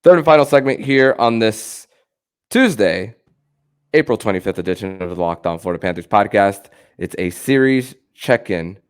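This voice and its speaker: A man with a low, smooth voice hosts a sports podcast. His delivery is halting, as he stumbles into sentences and doubles up on words. The tone stays clean and resonant. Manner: halting, stammers and repeats words. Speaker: podcast host.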